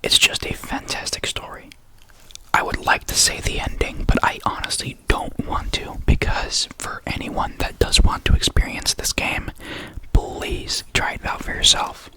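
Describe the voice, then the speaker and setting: A young adult ASMR creator with a lisp who stutters now and then, rambling casually in a soft unvoiced whisper close to the mic. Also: measured pace, rough recording.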